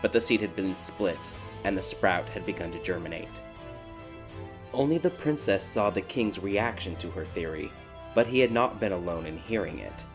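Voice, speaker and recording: A man reading a scripted dark fairy tale as a dramatic audiobook narrator. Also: sits low, expressive, normal speed. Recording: background music behind the voice.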